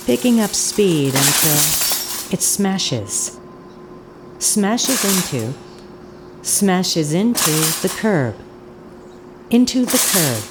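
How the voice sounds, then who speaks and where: Slow, low-voiced eerie tour guide. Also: female voice, background noise. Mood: ominous, intent. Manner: deliberate, instructing, repeats phrases hypnotically. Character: eerie female audio tour guide giving slow commands. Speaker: audio tour narrator. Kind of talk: scripted audio drama narration. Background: ambient field recording and foley sounds.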